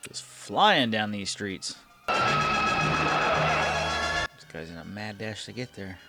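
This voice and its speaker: A man's voice: fairly low pitch, big pitch swings, slow pace. A man hosting a reaction video, giving casual, informal commentary and talking back to what he watches. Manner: informal, talks back to the show. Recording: old TV soundtrack playing behind. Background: music and dialogue of an old TV show.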